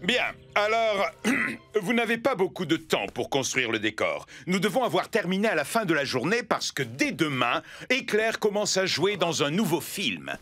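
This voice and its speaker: A man playing an excitable, bumbling film director in a French cartoon dub, with a theatrical delivery. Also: high voice, normal speed, exaggerated voice. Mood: brisk, instructing. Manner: theatrical, animated. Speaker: voice actor. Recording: music behind the voice.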